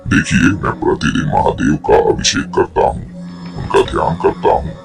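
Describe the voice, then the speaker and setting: A man voicing a boastful, self-righteous temple head priest with a breathy, creaky voice and a pompous, pious manner in a cartoon folk tale. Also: moderate pace, faint music behind, close to an everyday voice.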